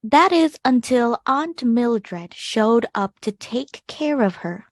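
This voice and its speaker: A woman's voice: fairly high pitch, lively intonation, slow, no echo, studio quality. A woman reading a picture book aloud to children as a storytime host, leaning on key words as she tells it. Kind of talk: expressive picture-book reading with short asides to young listeners.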